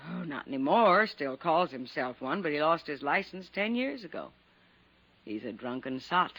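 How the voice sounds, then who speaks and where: A resentful, middle-aged American housekeeper from a small village in a radio play. Her voice is sharp and forceful. She speaks in a folksy, clipped way with a sardonic edge. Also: sits low, big pitch swings, moderate pace, heavily acted, poor audio. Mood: dismissive. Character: bitter, resentful older village housekeeper, a spinster. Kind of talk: old-time radio drama acting.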